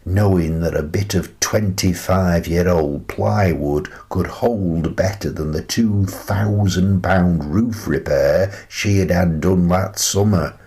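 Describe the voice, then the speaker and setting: A wry, contented older male recluse, played by an actor in a radio drama monologue. His voice sits low and creaks with fry. He takes his time, chatting and musing with gentle amusement at a very unhurried pace. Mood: amused.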